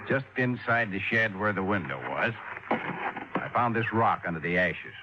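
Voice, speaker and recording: An actor playing a methodical, plain-spoken fire chief in 1950s radio drama, explaining things insistently. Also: male voice, lowish voice, expressive, unhurried. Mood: earnest, explaining. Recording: dull, noisy old radio recording.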